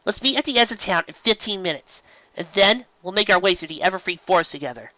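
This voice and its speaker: A man voices a sensible female pony who leads the group in a storybook tale. She speaks firmly and in measured phrases. The voice is lifted in pitch, with a bright edge. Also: animated, no echo, lo-fi sound. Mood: reasonable. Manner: measured, firm. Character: sensible, serious female cartoon pony leader.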